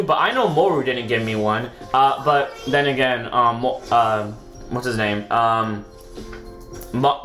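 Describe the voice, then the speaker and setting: A man's voice: medium pitch, fairly bright tone. A man with a sore throat who sounds sick, casually rambling through commentary on his own card game match, correcting himself often and swearing now and then. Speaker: gaming video host. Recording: echoey room, faint background music.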